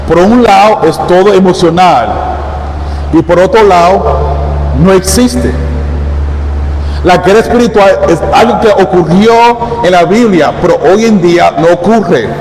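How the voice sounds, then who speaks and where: A man preaching a sermon in Spanish with a Caribbean accent, reading scripture and praying, talking quickly with occasional stammers and restarts. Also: sits high, a little mellow. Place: church hall. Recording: echoey church hall, faint background noise.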